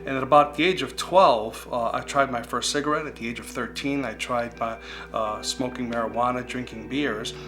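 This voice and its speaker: Man, 40s, Greek as first language, mid-pitched, sincere testimony over soft guitar bed. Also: moderate pace. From United States. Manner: earnest, reflective storytelling. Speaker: speaker sharing his recovery testimony. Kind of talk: personal testimony. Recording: guitar music playing underneath.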